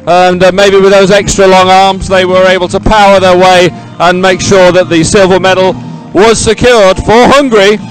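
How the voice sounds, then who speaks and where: A man's voice: high-pitched, little pitch movement, moderate pace, few pauses, faint music behind, rough recording. A man giving live, ad-libbed sports commentary in a chatty, rambling, enthusiastic way.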